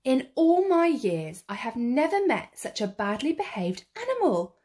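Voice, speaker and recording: A woman's voice: sits high, very animated, a little slow, darkish tone, pitched above the performer's own voice. A woman playing an indignant television man in a children's storybook, scolding sternly and emphatically.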